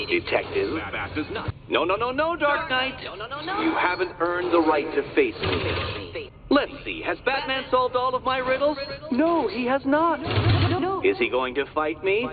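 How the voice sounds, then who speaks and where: Pompous genius game villain, wildly swooping delivery, condescending, vindictive, thin band-limited sound, loud music behind. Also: male voice, high voice, narrow, tinny audio, exaggerated voice. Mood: mocking, condescending. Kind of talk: video game villain acting.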